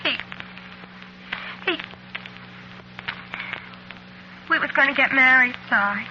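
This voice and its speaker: An American actress playing a distraught, naive young waitress, tearful, halting and pleading, in a 1940s radio drama. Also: female voice, high voice. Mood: despairing. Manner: halting, pleading, repeating herself. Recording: muffled, noisy old radio recording.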